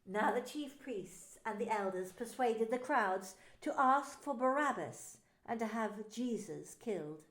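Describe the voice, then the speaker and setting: A woman's voice: on the high side, expressive, unhurried, hardly any pauses, fairly bright tone. A woman minister narrating a dramatised scripture story, telling it expressively. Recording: roomy echo.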